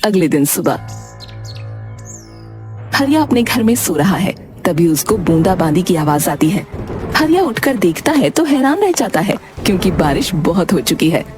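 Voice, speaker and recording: A woman's voice: on the low side, very animated, moderate pace, darkish tone. Woman narrating a story over music. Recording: music behind the voice.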